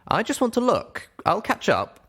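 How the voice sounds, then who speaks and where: Man doing a high-pitched earnest young pony heroine. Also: wildly swooping pitch, normal speed, fairly bright tone, full character voice. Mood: curious.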